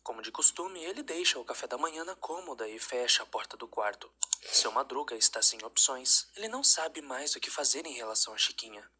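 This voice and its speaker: A man reading a scripted narration of a dark story. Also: medium pitch, animated, brisk, breathy edge.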